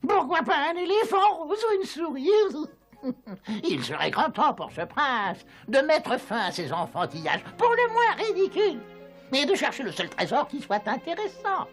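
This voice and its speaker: An actress playing a mocking, jealous schemer at a tsar's court, theatrical, in a dubbed fairy-tale cartoon. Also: female voice, sits high, big pitch swings, measured pace, faint music behind, exaggerated voice. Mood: mocking, haughty.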